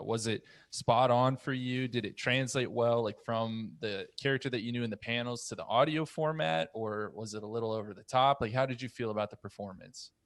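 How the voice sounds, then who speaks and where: A man in his thirties hosting a podcast, casually posing questions to his fellow panelists on a close studio mic. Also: medium pitch. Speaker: podcast host. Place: small home room, indoors. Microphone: boom-arm studio mic up close.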